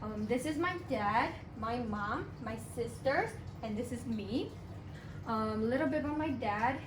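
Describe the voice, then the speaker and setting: Brief: young woman from Burma, student giving a class presentation, higher voice, halting delivery, noisy echoing room. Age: young adult. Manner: halting, with frequent pauses and restarts. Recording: echoey room, distant mic, background noise.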